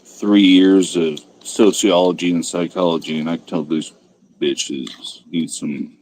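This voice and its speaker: A man's voice: low voice, fairly even intonation, unhurried, a little mellow, low-quality recording. A man chatting casually as a guest on a stream, dry, sardonic and profane, with faint noise behind him. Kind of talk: casual chat.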